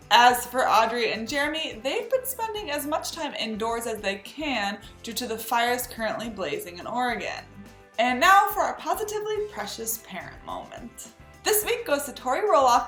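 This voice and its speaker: A woman hosts an entertainment news recap in a high, very lively voice. Her scripted delivery is chatty and emphatic. The sound is roomy, with music underneath. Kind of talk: scripted gossip recap narration.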